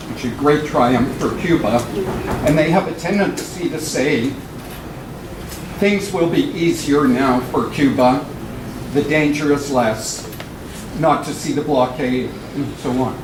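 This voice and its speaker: A man in the audience asking a long question, speaking in a measured way with pauses. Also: fairly high pitch. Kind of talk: question from the floor. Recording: echoey far-off room recording with noise.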